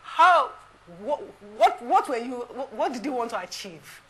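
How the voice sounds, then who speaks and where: A woman hosting a live TV studio interview, effusive and full of quick restarts. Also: a little high, animated, fairly bright tone, a little hiss or hum. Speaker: TV talk show host.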